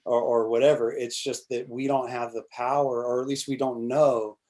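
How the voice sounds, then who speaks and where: A man's voice: mid-range voice, flat delivery, normal speed, a little mellow. A man in his forties chatting casually on a video call, rambling with frequent restarts and fillers and casual swearing. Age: 40s. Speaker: congressional candidate guest. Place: small indoor room, plain wall. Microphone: video call webcam.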